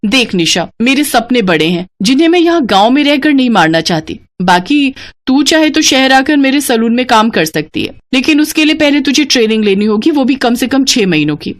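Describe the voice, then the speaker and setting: A woman's voice: sits high, very animated, a little fast, hardly any pauses. A woman voicing a boastful, snobbish young woman in a story, with a scornful, bragging manner. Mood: proud, condescending.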